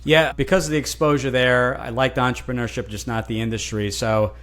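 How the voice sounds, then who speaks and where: Animated man with lots of restarts. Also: mid-range voice, a little fast. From New Jersey, USA. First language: Italian. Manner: animated, frequent restarts and repeated words. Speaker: guest, franchise consultant. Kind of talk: casual interview conversation.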